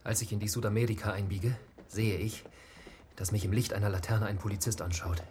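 A man playing a bold young petty thief in a radio drama. The voice is low, terse and stubborn, like a plotter's, with clear noise and clicks around it. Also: fairly even intonation, a little fast, a little mellow, lightly acted. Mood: breathless, recounting.

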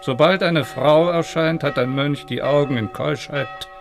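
A man's voice: a little high, unhurried, vocal fry. An actor playing a pious, gossiping medieval monk who admonishes his brethren in a German radio drama. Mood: admonishing. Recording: bells and music behind.

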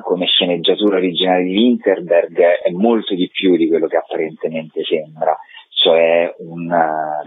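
A man answering interview questions as a theatre director, thoughtful and articulate, with elaborate phrasing and a husky voice. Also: sits low, no echo. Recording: tinny phone line, dry.

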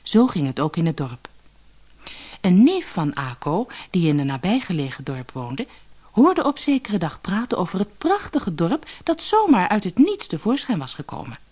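A woman reading a fairy tale aloud as an expressive scripted storyteller. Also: fairly low pitch, normal speed.

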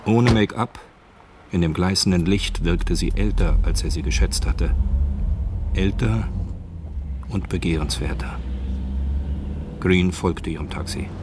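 Male narrator, very low voice, sober, measured, scripted radio drama, faint background ambience. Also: background noise.

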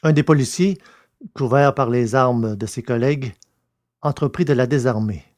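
A man's voice: mid-range voice, normal speed, a little mellow, no room sound, studio quality. A man reading scripted narration for an audio drama.

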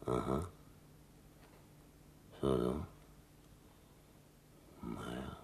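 An actor in a radio play voicing a gruff, down-to-earth German seaman, terse and colloquial, with dry irritability. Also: extremely low pitch, steady tone, drawn-out words, darkish tone, a little hiss or hum, heavily acted. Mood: absorbed, muttering.

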